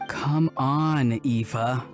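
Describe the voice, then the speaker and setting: A man's voice: medium pitch, very slow. Easygoing, frank young guy over music. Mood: easygoing, earnest. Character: easygoing, earnest young male villager abroad. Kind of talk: video game voice acting. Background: background music.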